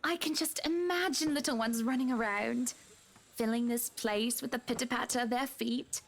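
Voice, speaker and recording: Woman acting a high-voiced, gushing lady of the manor. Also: moderate pace, a little hiss or hum, close to an everyday voice. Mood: gushing, hospitable. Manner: gushing, hostess-like, teasing. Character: gracious, teasing wealthy lady of the manor. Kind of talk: visual novel game voice acting.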